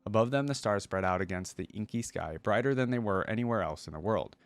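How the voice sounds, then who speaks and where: Male reaction host, lower register, casual reader-commentator who thinks out loud, dry recording. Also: animated, fast, no echo. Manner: breaks off to muse aloud and explain. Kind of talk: reading a story aloud with casual commentary.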